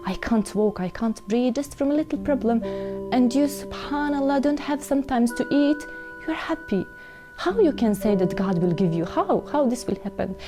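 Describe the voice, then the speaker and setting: A woman from Serbia with a Serbian accent, giving an earnest, halting personal testimony in non-native English. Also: on the high side, expressive. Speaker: interview guest telling her conversion story. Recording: music behind the voice.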